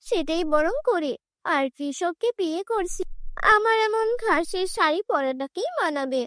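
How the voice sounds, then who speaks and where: A woman's voice: very high voice, lively intonation, measured pace, fairly bright tone, no room sound, studio quality, full character voice. A woman voicing a poor, proud young village bride in a cartoon, plaintive and emphatic in manner. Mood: delighted.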